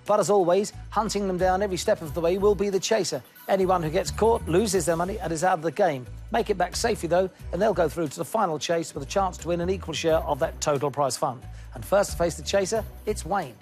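High-voiced quiz host, jokey. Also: male voice, fast talker, hardly any pauses, darkish tone, soft background music. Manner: jokey, teasing banter. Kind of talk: upbeat game show presenting. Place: TV studio.

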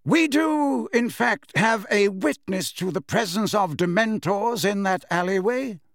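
A man voicing a calm, courteous old wizard in an audiobook, pleasant, measured and formal. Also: high voice, no echo, studio quality, voice pushed up. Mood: assured. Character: calm, courteous old male wizard headmaster, quietly assured. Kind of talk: audiobook character voice.

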